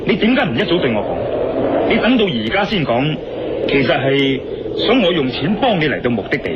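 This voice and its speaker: A man acting as a skeptical, excitable adventurer hero in an audio drama, emphatic and theatrical. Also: on the high side, brisk, very noisy. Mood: skeptical, accusing. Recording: echoey, dull sound under loud music.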